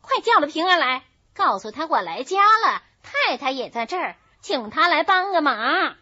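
A woman voicing a sharp-tongued, commanding young noblewoman in a storybook reading, talking fast and bossy. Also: high voice, very animated, pitched above the performer's own voice, exaggerated voice. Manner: rapid, bossy. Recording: dull lo-fi recording.

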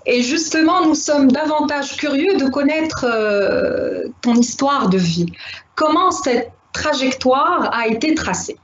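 Young woman hosting a video call, high voice, echoey room, lo-fi mic. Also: animated, slow, a little hiss or hum. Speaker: event host. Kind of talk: moderating an online talk. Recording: roomy lo-fi video call audio.

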